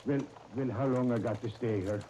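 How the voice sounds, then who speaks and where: An old radio recording with faint crackle carries a man acting a bewildered immigrant workman. His Scandinavian accent is thick and comic, and his soft-edged voice halts through broken English in 1930s radio drama style. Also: medium pitch, moderate pace, natural voice. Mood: bewildered, worried. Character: simple, worried immigrant working man with comic dialect.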